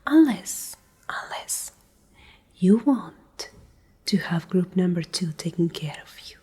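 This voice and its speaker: A domineering female Alpha, acted out by a woman speaks in a very breathy whisper for a dark ASMR roleplay. She is slow and deliberate, with a mellow tone and cold, condescending menace. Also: lowish voice, expressive, full character voice. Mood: threatening, controlling. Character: domineering, menacing female pack Alpha, coldly possessive captor.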